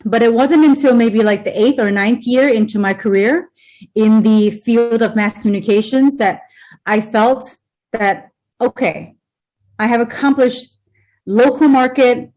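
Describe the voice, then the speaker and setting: A woman's voice: a little high, a little slow, a little mellow, poor audio. A woman from Hong Kong, raised in the US, speaking as an interview guest about her work as a presenter and coach. She talks in a loose, chatty way, with many fillers and restarts, over a home computer video call. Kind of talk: casual interview talk.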